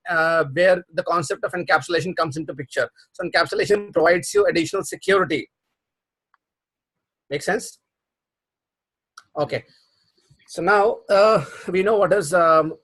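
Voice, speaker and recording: A man's voice: a little high, a bit bright. Man teaching a live coding class. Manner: explanatory, repeats himself, frequent restarts and fillers. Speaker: programming instructor. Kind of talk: live online class explaining concepts.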